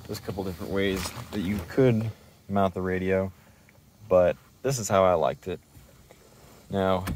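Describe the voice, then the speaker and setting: Male DIY presenter, low pitch, informal explanatory delivery, lightly noisy recording around a car. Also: darkish tone. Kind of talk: casual hands-on walkthrough.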